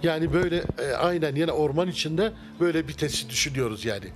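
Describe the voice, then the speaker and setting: A middle-aged man, a restaurant owner, answering interview questions in a relaxed, halting way with many fillers and restarts, inside a wooden-walled restaurant. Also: fairly high pitch, over music. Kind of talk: casual interview. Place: wood-paneled restaurant interior. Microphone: handheld mic held by interviewer.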